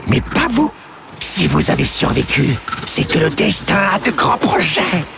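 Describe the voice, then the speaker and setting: An actor playing a cruel demon assassin who snarls and shouts threats with manic rage. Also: male voice, high-pitched, huge pitch swings, measured pace, creaky, noisy background, heavily acted. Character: raging mad male demon assassin, cruel. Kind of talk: audio drama acting. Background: music.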